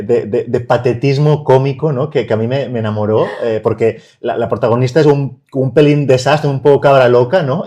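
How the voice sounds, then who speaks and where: Spanish guy from spain hosting a podcast, dark mellow voice, stumbles on words a lot. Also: medium pitch. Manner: warm, hesitant, with many restarts. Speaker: podcast host. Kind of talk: casual interview. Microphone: studio microphone.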